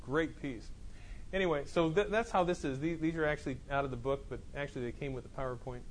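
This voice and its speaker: A man's voice: a little high, fast, a little hiss or hum. A man teaching a college class in a classroom, explaining in a loose, repetitive way with many restarts. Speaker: college instructor. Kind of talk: classroom lecture. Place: classroom with students. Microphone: clip-on mic that keeps falling off.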